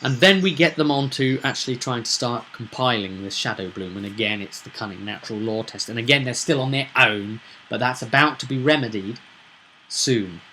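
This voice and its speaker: A man's voice: mid-range voice, brisk, pushed and bright, slight background noise, poor audio. A man talking casually as a game master, rambling through an explanation with emphatic points and rhetorical questions. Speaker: game master recapping a tabletop roleplay session. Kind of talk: casual explanatory vlog monologue.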